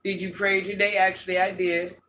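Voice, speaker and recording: A man with an itchy, scratchy throat, hosting a livestream in a grandmother persona and chatting through viewer comments with quick laughs and asides. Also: sits high, little pitch movement, pushed and bright. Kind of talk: casual live chat answering viewer comments.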